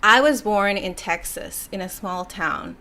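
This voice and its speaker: Young mexican woman reading comments live, spanish accent, bright voice, laid-back and chatty. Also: mid-range voice, animated, a little slow. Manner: chatty, laughs and sighs between thoughts. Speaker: YouTuber reading viewer comments. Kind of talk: casual live stream chat.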